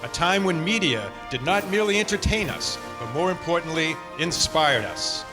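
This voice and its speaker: Theatrical, animated 1940s radio host played by a man. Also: on the high side, over music, natural voice. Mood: grand, nostalgic. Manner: theatrical, deliberate, repeats words for effect. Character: showmanlike 1940s radio announcer, grandly nostalgic. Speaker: host of a staged radio show. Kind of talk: 1940s radio host acting, scripted narration.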